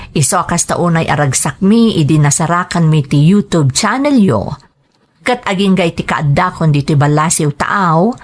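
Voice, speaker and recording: A woman with a Filipino accent hosting and narrating, reading greetings and listeners' letters from a script. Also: lowish voice. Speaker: host and narrator of a drama channel.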